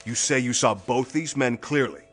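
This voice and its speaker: An actor playing a hard-nosed, suspicious police detective in a pulp thriller audio drama, firing insistent, probing questions. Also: male voice, medium pitch, normal speed, husky, faint music behind, lightly acted.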